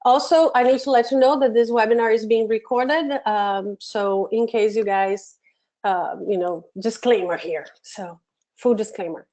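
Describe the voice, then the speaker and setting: A woman from Brazil with a Brazilian Portuguese accent, hosting a live software webinar from her home office and talking in a friendly way with many fillers and restarts. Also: a little high, a little slow. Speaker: webinar host, education program manager. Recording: dull lo-fi webinar audio.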